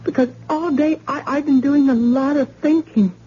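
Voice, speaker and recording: A woman's voice: high voice, steady tone, normal speed, mellow, natural voice. An actress playing a frightened wife who pleads with her wounded husband, in an old radio drama. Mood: pleading. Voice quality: pleading and emotional. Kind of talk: vintage radio drama acting. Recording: dull lo-fi old radio recording with faint hiss.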